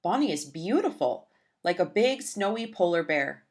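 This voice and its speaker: A woman voicing an earnest, shy young schoolgirl who narrates her own storybook tale with deliberate, childlike phrasing. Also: fairly low pitch, big pitch swings, darkish tone, voice pushed down.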